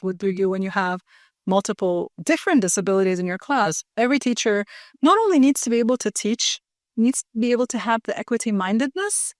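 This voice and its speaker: Woman educator, mid-pitched, earnest and quick, dry recording. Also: a little mellow, dry recording. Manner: fast, earnest, explains with examples. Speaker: guest educator. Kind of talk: conversational interview.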